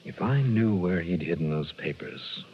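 A man playing a shrewd American private investigator in a 1960s radio drama, measured and probing, narrating in the first person. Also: deep voice, lively intonation, a little hiss or hum. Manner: measured, probing, hard-boiled. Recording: muffled 1960s radio recording, music behind.

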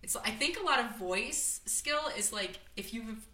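Loud, laughing woman podcaster. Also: fairly high pitch, expressive, unhurried, roomy sound. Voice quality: naturally loud voice. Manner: laughs often, rambling with many "like" fillers. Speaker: podcast host. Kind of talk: casual movie review chat.

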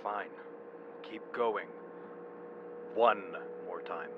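A man's voice: lowish voice, very slow, highs cut off, noisy background. A man playing a cautious, exasperated van driver in an audio drama, with a wry, grumbling manner. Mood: resigned.

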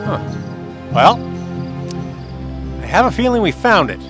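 A man's voice: high voice, very animated, a little slow, music in the background. A man playing a patient, courteous young male adventurer in a fantasy audio drama, speaking hesitantly and placatingly. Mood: wry.

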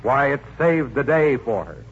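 An actor playing a cheerful, persuasive salesman with polished, florid patter in a 1940s radio comedy sponsor pitch. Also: sing-song, a little slow, husky, heavily acted. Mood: earnest pleading. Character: eager, glib floor-wax salesman, cheerful and persuasive. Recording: muffled 1940s radio recording, faint noise.

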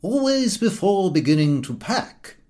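A man's voice: high-pitched, sing-song, a little slow, darkish tone, pitched above the performer's own voice. A man voicing a methodical, bossy old uncle in an audiobook, dictating advice step by step in short, emphatic commands. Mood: brisk, instructive. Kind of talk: audiobook character voice.

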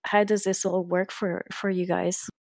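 A woman's voice: lowish voice, flat delivery, measured pace, a little mellow, no echo, studio quality. A woman interviewing a guest in a casual conversation, asking questions and adding short remarks and laughter.

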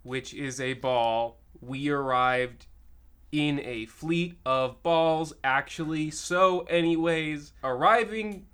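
A man's voice: on the high side, steady tone, slow pace, a bit bright, smooth. A man playing a self-important, rambling mole king from the clouds in a comic radio play. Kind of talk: comic parody radio drama.

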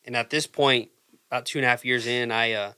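Young guy, slangy, bright tone. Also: medium pitch, steady tone, brisk, smooth. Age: 20s. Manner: swears freely, slangy, restarts a lot. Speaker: guest musician. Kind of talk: casual podcast chat.

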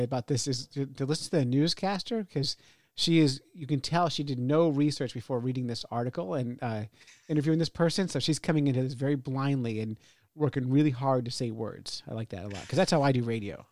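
A man's voice: mid-range voice, fast talker, darkish tone, no room sound, studio quality. A man hosting a podcast, chatting casually and laughing often at his own jokes. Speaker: podcast host. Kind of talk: casual chat.

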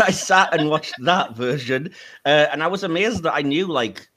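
A man's voice: on the high side, lively intonation, normal speed, pushed and bright. A man in his forties, from North East England, hosting a podcast and chatting casually, telling stories with lots of ums and little laughs. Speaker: podcast host. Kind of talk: casual chat.

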